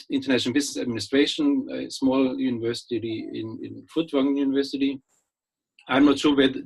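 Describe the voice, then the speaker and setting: A middle-aged man with a German accent gives a relaxed webinar talk through a computer mic. His voice is slightly husky and sits at an ordinary pitch. He restarts sentences often and fills pauses as he goes. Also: low-quality recording. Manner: hesitant, many fillers and restarts, friendly. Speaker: guest speaker, business lecturer. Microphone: computer mic on a video call.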